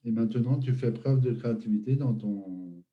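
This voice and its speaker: A man hosting an interview, asking questions and summing up in a measured way with fillers. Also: on the low side, narrow, tinny audio. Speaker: host.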